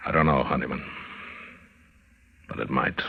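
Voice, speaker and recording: Casting: weary Western marshal, American, very low voice, stern and plain-spoken, dull vintage radio sound. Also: male voice, vocal fry, breathy edge, heavily acted. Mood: subdued. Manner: grave, deliberate, plain-spoken. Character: stern, weary male frontier lawman. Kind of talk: old-time radio drama acting.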